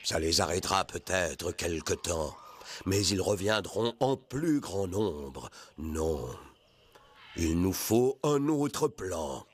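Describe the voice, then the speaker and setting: A man's voice: low-pitched, sing-song, slow pace, slightly rough, exaggerated voice. A man voicing a stern, noble animal leader and father in a cartoon, solemn and authoritative. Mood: firm. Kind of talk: dubbed cartoon acting.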